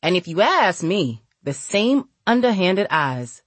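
A woman's voice: lowish voice, big pitch swings, takes it slowly, slightly rough, dry recording, exaggerated voice. A woman voicing a cold, tough old woman in an audiobook, stern and menacing with street slang. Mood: sly.

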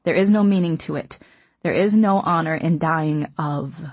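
A woman's voice: low-pitched, normal speed, a little mellow, dry recording. Woman voicing a wry young man with cancer. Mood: wistful, bitter. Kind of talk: audiobook character reading.

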